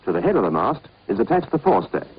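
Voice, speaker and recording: British man, lively narration, dull old film soundtrack. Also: mid-range voice, racing, husky. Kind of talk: scripted instructional film narration.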